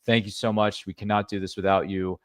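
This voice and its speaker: Fast-talking upbeat male podcast host. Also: lowish voice, flat delivery, no echo, clean studio sound. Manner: upbeat, rambling, self-deprecating. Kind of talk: casual show wrap-up and plugs.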